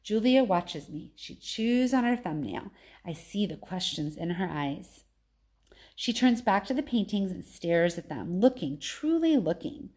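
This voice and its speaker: A woman teacher reading a children's novel aloud in an expressive storytelling style. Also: mid-range voice, a little slow.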